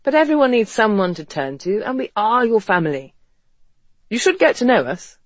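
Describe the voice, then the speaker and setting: A man plays a well-bred English gentleman from a period novel, articulate and drily witty. The voice is pitched high and emphatic, in a close, dry audiobook sound. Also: very animated, moderate pace, dry recording. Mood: wry, earnest. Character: wry upper-class Englishman, an impassioned period-novel gentleman. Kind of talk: audiobook character dialogue.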